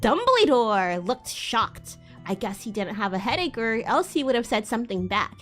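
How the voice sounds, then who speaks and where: A woman's voice: a little high, very animated, normal speed, fairly bright tone, soft background music. A woman streamer doing a playful, exaggerated dramatic reading, with sweary amused asides. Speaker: streamer, host of a live read-along.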